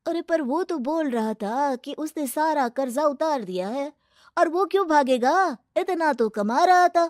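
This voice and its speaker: A woman voicing an indignant, complaining village customer in a cartoon moral story, exclamatory and drawn-out. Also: sits high, lively intonation, no echo, clean studio sound, voice pushed up. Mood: suspicious.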